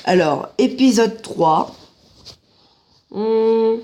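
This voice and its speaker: Slow, nasal guy with a cold. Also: high-pitched, a little mellow. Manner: chatty, jokey asides to viewers. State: has a head cold, stuffy. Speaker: gameplay commentator. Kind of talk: casual let's-play commentary. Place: at home on a couch.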